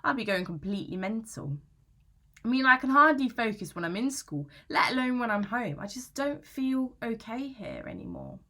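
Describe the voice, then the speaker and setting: A woman's voice: a little high, sing-song, normal speed, bright, forceful tone, exaggerated voice. A woman playing an anxious, aggrieved young British student in a conversational, confessional dramatic monologue, chatty, slangy and self-justifying. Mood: upset, indignant. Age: young adult. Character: anxious young female student, aggrieved and defensive about housemate.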